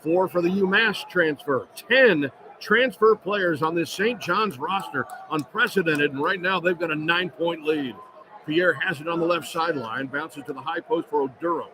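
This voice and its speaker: A man's voice: a little high, normal speed. A man doing live play-by-play radio commentary of basketball, describing the action in clipped, running phrases. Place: indoor sports arena, courtside. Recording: loud arena noise behind. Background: loud ambient arena noise.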